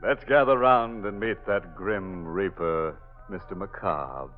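A man's voice: sits low, slow pace. A man playing a gleefully macabre horror host in 1940s radio style, theatrical and mock-sinister. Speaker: host and narrator. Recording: muffled old radio recording, faint music.

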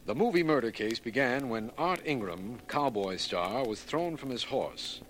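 An American actor playing an earnest, skeptical district attorney in a 1940s radio mystery, formal and insistent. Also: male voice, mid-range voice, expressive, measured pace, a bit bright. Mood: formal, narrating. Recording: faintly noisy vintage radio recording.

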